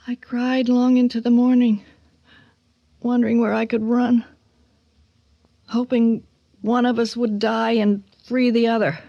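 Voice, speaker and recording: An actress playing a bitter, haunted middle-aged mother in a radio drama, speaking haltingly and with raw emotion. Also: fairly high pitch, little pitch movement, vocal fry, no room sound, highs cut off. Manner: halting, breaking into whispers and cries. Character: bitter, haunted mother of a son she fears. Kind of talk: dramatic radio monologue acting.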